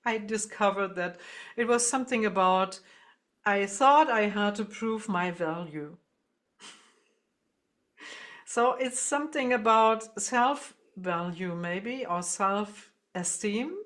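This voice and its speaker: A woman musician being interviewed, explaining her ideas reflectively and haltingly as she searches for words. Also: medium pitch, fairly bright tone.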